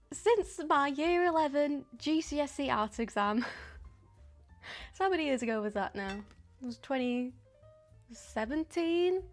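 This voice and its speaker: Young woman, casual live stream chatter, lots of ums, slightly high voice, faint music. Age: 20s. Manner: chatty and rambling, with frequent ums and soft laughs. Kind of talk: casual live stream chat while painting.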